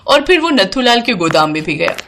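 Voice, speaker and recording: A woman narrating an animated moral story with an even storytelling delivery. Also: medium pitch, fairly quick, a little hiss or hum.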